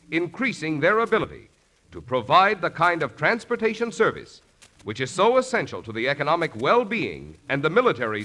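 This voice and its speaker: A polished American male radio announcer reading formal scripted copy. His voice is bright and slightly high, with lively pitch movement, on a vintage 1950 broadcast recording. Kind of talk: scripted 1950s radio sponsor narration.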